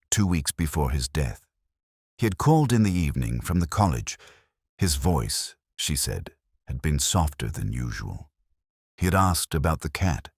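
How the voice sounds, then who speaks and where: A man plays a melancholy police inspector telling his own mystery story. The voice is extremely low and husky, with lively shifts of pitch. The delivery is measured, literary and brooding, as in a radio detective drama. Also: no room sound, studio quality, exaggerated voice. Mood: pensive. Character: weary, melancholy male English police inspector narrating his case. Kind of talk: scripted first-person mystery narration.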